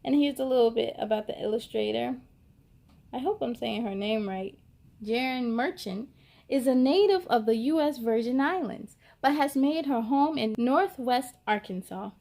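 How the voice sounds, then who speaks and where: High voiced lady doing kids story time, expressive and encouraging, talks right to the little listeners. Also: normal speed, vocal fry. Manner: encouraging, addresses young listeners directly. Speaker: story time host reading a children's picture book aloud. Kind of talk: expressive read-aloud narration and encouraging chat to children.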